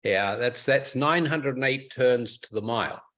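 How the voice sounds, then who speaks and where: A man's voice: mid-range voice, a little slow, a bit bright. A man giving informal technical advice on a group conference call, explaining things in a methodical, instructive way. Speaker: club technical expert advising a caller. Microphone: telephone or conference call line.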